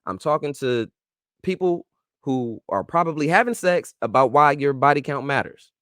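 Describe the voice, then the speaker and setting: Animated, emphatic man with a lively voice. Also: fairly high pitch, moderate pace, clear, resonant tone, no room sound, clean studio sound. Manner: emphatic, preachy, talks straight to the listener; informal slang-filled speech. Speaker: show host. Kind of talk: casual advice monologue.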